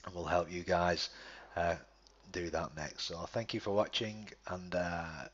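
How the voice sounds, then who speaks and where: A man presenting a screen-recorded software tutorial, talking step by step with frequent restarts and fillers. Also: sits low, steady tone, a little slow, dull audio.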